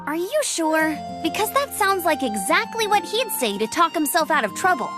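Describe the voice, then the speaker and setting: A woman's voice: very high voice, very animated, normal speed, over music. An actress playing a perky, earnest, friendly young woman knight in a video game. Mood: suspicious, exasperated. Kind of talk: video game character acting.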